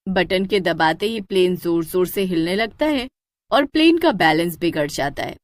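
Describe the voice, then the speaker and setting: जीवंत उतार-चढ़ाव वाली महिला कथावाचक. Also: female voice, fairly low pitch, lively intonation, moderate pace, no room sound, clean studio sound. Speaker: narrator. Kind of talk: scripted cartoon horror story narration.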